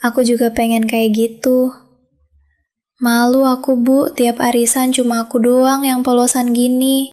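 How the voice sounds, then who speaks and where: An actress voicing a greedy, discontented wife with a Javanese accent who whines and complains in a cartoon drama. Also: female voice, on the high side, little pitch movement, measured pace, darkish tone, natural voice. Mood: complaining. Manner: whiny, complaining.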